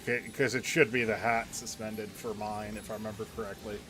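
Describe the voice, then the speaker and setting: A man's voice: fairly low pitch, flat delivery, brisk, noisy background. A man giving casual gameplay commentary, with wry, offhand asides. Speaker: game streamer.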